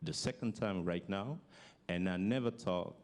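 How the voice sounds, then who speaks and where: A man with a French accent, giving a halting public speech and often restarting his sentences as he searches for words in English. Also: deep voice, a little mellow. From French-speaking African country. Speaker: forum speaker.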